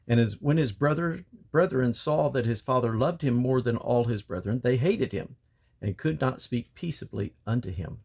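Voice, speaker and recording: A man with a mid-pitched voice reads scripture aloud as a narrator. He phrases the old-fashioned text deliberately, clause by clause, in the manner of a Bible reading. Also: lively intonation, creaky, darkish tone, breathy edge. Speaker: Bible reader.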